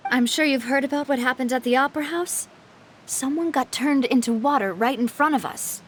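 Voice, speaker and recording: A woman's voice: sits high, fairly quick, a little hiss or hum, natural voice. An actress playing a determined, kind young female boss, composed and articulate, in a video game cutscene. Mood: composed, serious.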